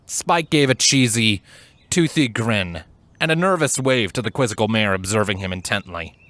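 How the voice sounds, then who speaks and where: A man narrating scripted fiction in a measured, expressive and articulate way. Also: on the low side, dry recording. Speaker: fan fiction narrator and voice actor.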